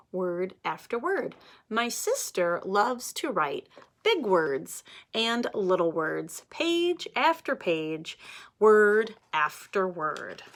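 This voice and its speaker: Slow, animated teacher reading aloud. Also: female voice, medium pitch. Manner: animated, addresses children directly. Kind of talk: read-aloud storytime for children.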